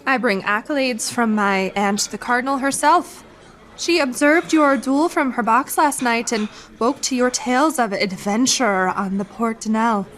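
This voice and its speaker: A woman's voice: fairly high pitch, moderate pace, fairly bright tone, lightly acted. A woman playing a haughty, scheming noblewoman, imperious and condescending, in a radio drama. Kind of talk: radio theatre acting.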